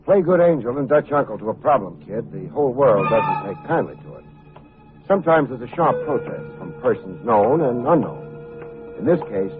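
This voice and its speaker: An actor playing a coolly unflappable, wisecracking private investigator in an old radio detective drama, with dry, clipped noir phrasing. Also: male voice, mid-range voice, close to an everyday voice. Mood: wry narrating. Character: wry, hard-boiled male private investigator, coolly unflappable. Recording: muffled phone-like vintage radio recording.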